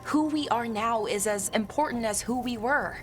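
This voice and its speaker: A woman's voice: fairly high pitch, normal speed, close to an everyday voice. A woman acting a hesitant, grieving young elf, music behind. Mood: wistful, uncertain. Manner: rambling, hesitant, self-correcting. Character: grieving young elf woman, earnest, scholarly, unsure of herself. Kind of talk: video game dialogue acting. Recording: clear game music behind the voice. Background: game music.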